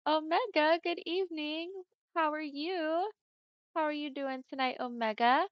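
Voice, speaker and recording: A young woman streamer chatting casually with her viewers, friendly and often laughing, with a smooth voice on the deeper side for a woman. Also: measured pace, no echo. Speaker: livestreamer. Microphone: headset mic. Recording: dull lo-fi headset mic.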